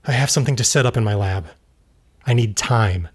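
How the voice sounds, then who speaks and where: A man's voice: fairly low pitch, fairly quick, slightly rough. A man playing an earnest, troubled character in an audio drama, making sincere, intense appeals. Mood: earnest, uneasy.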